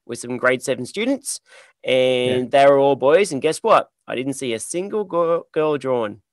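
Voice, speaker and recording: A man hosting a podcast interview, talking in a lively, emphatic, conversational way. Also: medium pitch, normal speed, dry recording. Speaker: podcast host.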